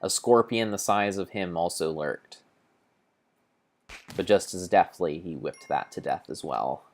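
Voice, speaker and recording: A man giving a grave, theatrical, storybook-style narration of video game play, in deliberate phrases with pauses. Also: sits low, darkish tone. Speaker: streamer narrating his gameplay.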